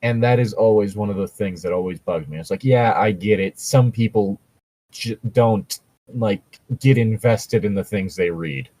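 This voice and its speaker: A man's voice: fairly low pitch, moderate pace, mellow, rough recording. A man in casual commentary chat, opinionated and argumentative, swearing freely as he talks. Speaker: co-host reading and reacting to fan fiction.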